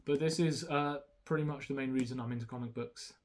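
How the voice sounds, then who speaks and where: A man's voice: medium pitch, steady tone, a little fast, a little mellow, slight background noise. A young man whose first language is Romanian, talking casually and hesitantly through a haul with many ums and restarts. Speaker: YouTuber showing a haul.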